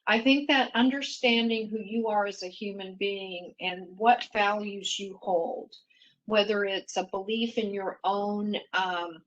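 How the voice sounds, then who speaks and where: A middle-aged woman from Mexico, a native Spanish speaker, speaking as a panelist in a thoughtful, measured way with restarts. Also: mid-range voice, rough recording. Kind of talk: panel discussion.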